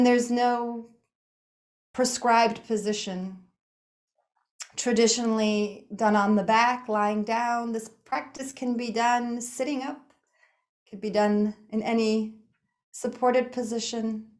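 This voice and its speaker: A woman leading a guided meditation in a soothing, unhurried manner, speaking in short phrases with pauses between them. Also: a little high. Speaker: yoga nidra meditation guide.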